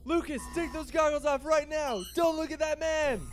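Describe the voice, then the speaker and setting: A man's voice: very high-pitched, fairly even intonation, normal speed, over music. A man playing a pampered, cocky, crude young rich student in a comedy cartoon, with a brash, bossy, slangy way of talking. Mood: alarmed.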